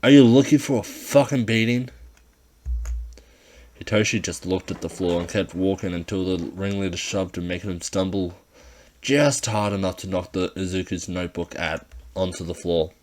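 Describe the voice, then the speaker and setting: A man's voice: deep voice, fairly even intonation, normal speed. A man reading scripted fan fiction as an amateur audiobook narrator.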